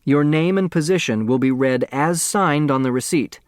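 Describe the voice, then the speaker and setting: Man as a formal, deliberate announcer of rules. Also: a little high, no room sound, clean studio sound, lightly acted. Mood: formal announcing.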